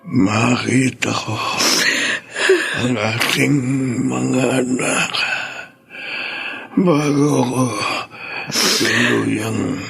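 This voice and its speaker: An actor playing a dying, exhausted husband in a Filipino radio drama, weak and raspy, pleading in halting, labored phrases. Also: male voice, mid-range voice, fairly bright tone, full character voice. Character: dying, exhausted husband and father longing for his children.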